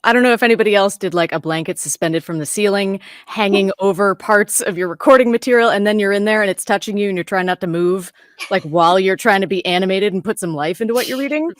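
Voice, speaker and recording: A woman's voice: medium pitch, quick pace, no room sound, clean studio sound. A woman voice actor casually telling a personal story with a wry, self-amused manner. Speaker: voice actor, panel guest.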